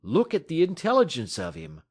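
A man's voice: mid-range voice, very animated, measured pace, pitched above the performer's own voice. A man voicing an earnest dog owner who talks urgently and persuasively. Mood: pleading.

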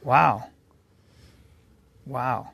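A male co-host who mutters low-key joking asides. His voice is gravelly, with a husky edge. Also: drawn-out words, a bit bright, no room sound. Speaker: podcast co-host. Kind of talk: casual chat.

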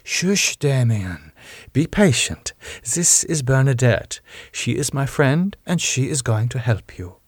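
A man's voice: medium pitch, sing-song, slow pace, husky, dry recording, clean studio sound, voice pushed up, exaggerated voice. A man voicing a commanding female vampire, soothing, stern and formal in an audiobook story. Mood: stern, coaxing. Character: commanding foreign female vampire, calmly maternal yet menacing.

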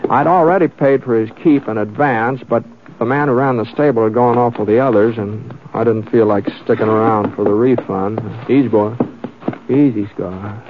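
Actor as a laconic cowboy, muffled old radio. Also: male voice, mid-range voice, normal speed, background noise, lightly acted. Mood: reflective storytelling. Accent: American. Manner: hesitant, folksy drawl, understated. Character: laconic, easygoing drifting gunfighter, adult man. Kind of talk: 1950s radio western acting and first-person narration. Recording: muffled 1950s radio recording.

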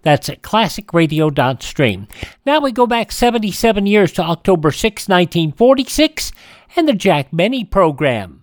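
Animated male podcast host, dry studio sound. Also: sits high, normal speed, dry recording. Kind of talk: scripted podcast narration.

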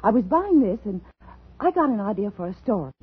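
A woman's voice: mid-range voice, sing-song, a little fast, breathy edge. An actress playing a brittle, intense woman scriptwriter unsettled after illness, in a 1950s radio drama. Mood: restless, intense. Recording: muffled, lo-fi old radio recording.

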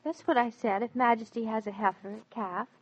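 An actress playing a naive, tender-hearted young wife who speaks with innocent questioning in a 1940s radio drama. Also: female voice, on the high side, fairly even intonation, brisk, lightly acted. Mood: puzzled, innocent. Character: naive, tender-hearted young wife, newly married city-bred woman. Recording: dull vintage 1940s radio recording.

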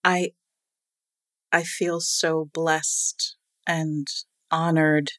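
A middle-aged woman telling a personal story in a casual chat, animated and quick to laugh, with a loud voice. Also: deep voice, no echo, clean studio sound. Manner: calls herself loud-voiced.

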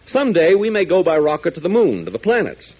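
Husky 1940s radio announcer, dramatic. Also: male voice, high-pitched, quick pace. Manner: dramatic, building excitement in countdowns. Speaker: announcer and narrator. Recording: dull lo-fi 1940s radio transcription.

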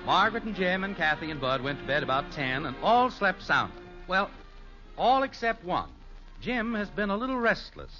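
A man's voice: high-pitched, very animated, moderate pace, bright, forceful tone. An American man announcing and narrating in scripted 1950s radio style. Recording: muffled old radio broadcast. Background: music.

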